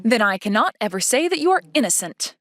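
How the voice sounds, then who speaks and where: Woman acting a haughty, animated teenage schoolgirl. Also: fairly high pitch, a little fast, no echo. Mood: self-assured. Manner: demanding, formal, self-assured. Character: haughty, accusatory teenage schoolgirl, stubborn and blunt. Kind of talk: manga dub character dialogue.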